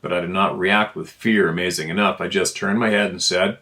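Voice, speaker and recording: A man narrates a written first-person account. His voice sits a little low, and he reads informally, as someone sharing emails from listeners. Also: steady tone, brisk, sharp tone. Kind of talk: informal read-aloud of a first-person story.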